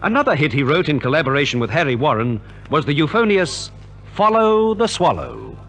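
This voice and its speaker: A man narrates in scripted 1950s radio style. His voice sits fairly high and moves with lively inflection, with faint music on a vintage recording. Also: a little fast.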